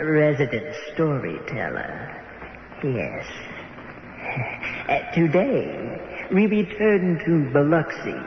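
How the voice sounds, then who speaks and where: An actor playing a creepy morgue attendant who narrates old-time radio tales in a hesitant, courteous, drawn-out way. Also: male voice, fairly high pitch, heavily acted. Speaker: host and narrator. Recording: noisy, muffled, heavily reverberant radio sound.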